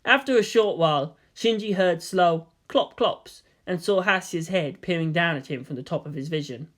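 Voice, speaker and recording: A narrator reading a fan fiction story aloud in an expressive, scripted storytelling style. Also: medium pitch, normal speed.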